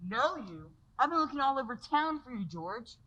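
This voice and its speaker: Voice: high-pitched, very animated, moderate pace, bright, forceful tone, lo-fi sound, full character voice. A performer voicing a friendly small-town policeman, blunt and puzzled.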